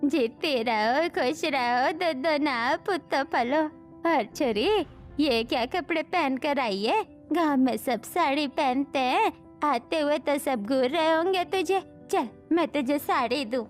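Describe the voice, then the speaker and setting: Village grandmother played by a woman for a cartoon tale: elderly, high-pitched, unhurried, fond yet scolding, faint music underneath. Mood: mildly scolding. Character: affectionate, mildly scolding old village grandmother. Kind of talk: Hindi animated moral story dialogue.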